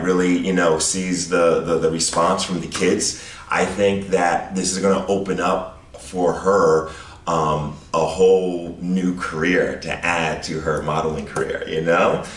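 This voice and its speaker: A man coaching speakers, introducing a new speaker in a halting, repetitive way. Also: low voice. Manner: halting, repeats words. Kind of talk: casual introduction. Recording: echoey room, far from mic.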